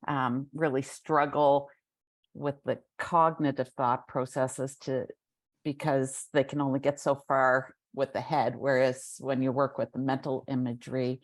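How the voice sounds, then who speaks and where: A woman hosting an interview, asking questions and explaining a topic at a measured pace. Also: extremely low pitch, level tone, dry recording. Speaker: interviewer host.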